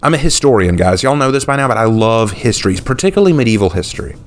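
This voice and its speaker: A young man, talking casually and unscripted to his channel's viewers, relaxed and thinking aloud with fillers. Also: fairly low pitch, fast talker, faint music behind. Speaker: YouTube channel host.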